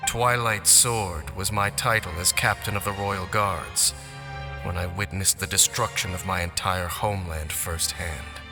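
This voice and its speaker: A grave, weary swordsman. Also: low-pitched. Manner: measured, formal and solemn. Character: grave, weary wandering swordsman, adult man, solemn and guarded. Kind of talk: game cutscene voice acting. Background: game score music with strings.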